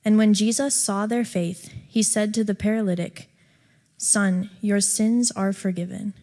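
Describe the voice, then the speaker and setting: A woman reading a scripture passage aloud to a congregation in a steady, measured way. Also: mid-range voice. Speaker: scripture reader. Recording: long church-hall reverb.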